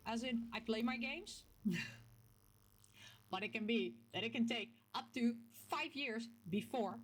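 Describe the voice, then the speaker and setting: A woman from the Netherlands with a Dutch accent chats casually to her viewers as a gaming vlogger, telling things in an animated, playfully emphatic way with little acted-out quotes. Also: on the high side, measured pace. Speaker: video game vlogger and host. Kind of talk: casual, chatty talk to camera.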